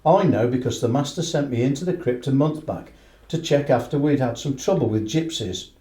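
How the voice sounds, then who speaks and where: Man acting a mellow, earnest, worried horse trainer. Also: mid-range voice, lively intonation, fairly quick, close to an everyday voice. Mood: earnest, uneasy. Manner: plain-spoken, respectful, matter-of-fact. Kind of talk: radio play acting. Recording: roomy, echoing radio play recording.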